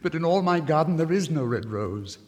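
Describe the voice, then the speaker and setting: A man's voice: on the high side, lively intonation, moderate pace, a little mellow, pitched above the performer's own voice, lightly acted. Man acting a lovesick, anguished young student. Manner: melodramatic, lamenting. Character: lovesick, despairing young male student in a fairy tale. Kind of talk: 1950s literary story record acting. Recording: vintage vinyl record, long reverb.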